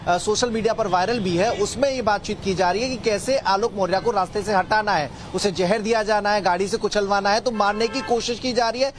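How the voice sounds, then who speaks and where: A male correspondent explains at a measured pace in a high voice. Faint background noise sits behind him. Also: fairly even intonation. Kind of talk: live news report.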